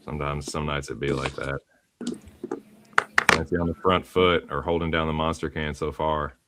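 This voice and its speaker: A man with a New England accent giving relaxed, self-mocking play-by-play of a fight during a live watch-along. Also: very deep voice, normal speed, very noisy. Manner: laid-back play-by-play, self-mocking about bets. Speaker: co-host, live fight commentator.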